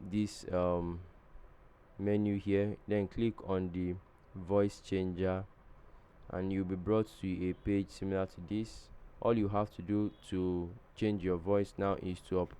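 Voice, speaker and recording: Deep-voiced man giving a software walkthrough. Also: clean tone. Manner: plain step-by-step instructions with hesitant ums. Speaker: tutorial host. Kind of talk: screen-recorded software tutorial.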